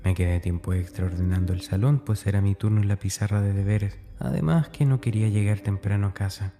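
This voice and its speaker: A man's voice: on the low side, steady tone, brisk, noisy background, close to an everyday voice. An actor playing a tormented, fearful schoolboy, narrating his diary in a confiding, anguished, dramatic way. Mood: subdued, confiding.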